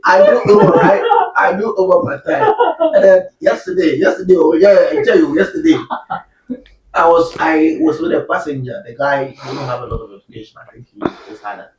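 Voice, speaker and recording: A Ghanaian man in a relaxed group chat, spinning lively stories and laughing easily. His voice sits a little high. He sounds echoey and set back from the mic, as if in a bare room. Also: far from the mic, a little hiss or hum. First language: a Ghanaian language. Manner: animated storyteller, laughs often. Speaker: panel guest. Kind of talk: casual chat.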